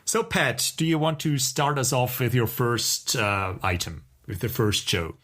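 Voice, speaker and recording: A man's voice: medium pitch, a little slow, fairly bright tone. A man talking casually and enthusiastically as co-host of a podcast.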